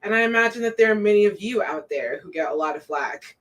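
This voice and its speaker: A woman co-hosting a casual live stream, relaxed and loose with plenty of fillers, reading out viewer comments. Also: fast, recorded from a distance. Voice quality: says her own voice sounds deep to herself.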